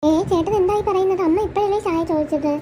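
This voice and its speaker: A woman's voice: extremely high pitch, quick pace, over music. A woman playing a meek, wrongly blamed young wife in an amateur cartoon skit, apologetically explaining herself. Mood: defensive, puzzled.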